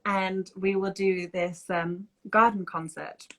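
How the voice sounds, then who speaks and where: A woman singer talking as an interview guest in a thoughtful, relaxed way. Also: medium pitch, slow pace. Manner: thoughtful, relaxed, with frequent fillers. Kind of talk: casual conversational interview.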